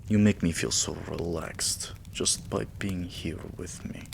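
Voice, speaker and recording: A man playing a doting, possessive vampire king in an intimate ASMR roleplay, breathy and soothing, coaxing with pet names. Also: deep voice, fairly even intonation, unhurried, background noise, heavily acted. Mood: teasing, affectionate. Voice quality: breathy, whispery.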